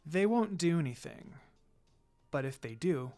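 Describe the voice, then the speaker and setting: A story reader voicing a cool, guarded young female ninja who talks in a low-key, firm way. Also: expressive, measured pace, mellow, faint music behind, natural voice. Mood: firm, quiet.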